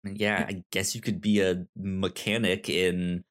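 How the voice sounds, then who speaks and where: A man's voice: sits low, level tone, unhurried. A man co-hosting a manga discussion podcast in casual chat, sometimes hesitating and repeating the starts of words. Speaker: podcast co-host reviewing manga.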